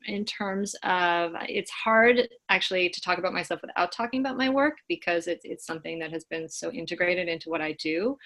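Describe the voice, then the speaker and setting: A woman in her forties from Washington State, whose first language is Swedish, introducing herself on a video call. Her voice sits low, with a gravelly edge and a bright, forceful ring. She talks in a loose, chatty way and often restarts her sentences. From Washington State, USA. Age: 40s. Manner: chatty, with many repeated words and restarts. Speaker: community head and call host.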